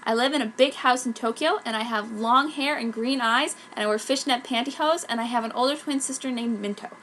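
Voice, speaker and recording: A woman's voice: on the high side, a little fast, a bit bright, slight background noise. A woman voicing a self-pitying, dramatic goth schoolgirl telling her own story in a fanfic reading. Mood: wounded, dramatic. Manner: melodramatic. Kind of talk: mock-earnest first-person fanfic narration.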